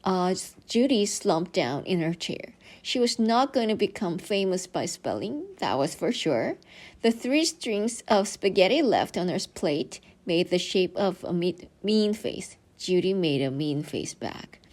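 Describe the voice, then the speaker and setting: A woman reading a children's book aloud as an expressive storyteller, dramatizing as she goes. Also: lowish voice, measured pace. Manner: animated, dramatizing dialogue.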